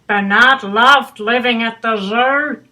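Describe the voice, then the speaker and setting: A woman's voice: on the high side, slow, bright, forceful tone, rough recording. An older woman reading a children's bedtime story aloud with doting, grandmotherly asides. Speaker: storybook reader.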